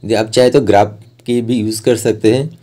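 A young man gives a step-by-step instructional voice-over, explaining plainly and pausing mid-sentence to find his words. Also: on the low side, steady tone, warm tone, slight background noise. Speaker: art tutorial host.

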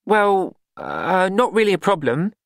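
Man voicing a prim, protective working-class woman, raised pitch. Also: wildly swooping pitch, unhurried, vocal fry, a bit bright, no echo, clean studio sound, full character voice. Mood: astonished, flustered.

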